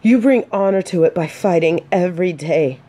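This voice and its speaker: A woman playing a gentle, earnest female knight in a fantasy romance audio drama, speaking softly with hesitant, dramatic pauses. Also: on the low side, animated. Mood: pleading, firm. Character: gentle, earnest female knight consoling a despairing blind companion.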